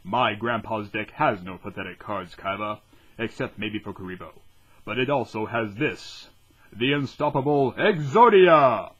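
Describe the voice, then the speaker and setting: A man's voice: on the low side, animated, measured pace, fairly bright tone, breathy edge, highs cut off, exaggerated voice. A man voicing a confident, sarcastic teenage card duelist with a grown-up voice in a parody anime dub. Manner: bold, sarcastic.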